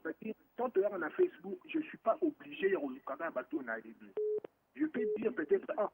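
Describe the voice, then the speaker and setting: Man on a phone-in, high lively voice, gravelly, rambling, tinny phone line. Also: fairly quick. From Morocco. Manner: rambling, restarts often, mixes French and Lingala. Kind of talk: casual phone-in chat.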